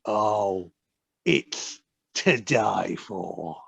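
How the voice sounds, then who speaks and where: A woman's voice: very deep voice, lively intonation, vocal fry, breathy edge, heavily acted. A woman playing a sly, falsely sweet weasel villain with an oily manner in an amateur audio play, recorded on a dull video call. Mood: menacing. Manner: oily, drawn-out insincerity.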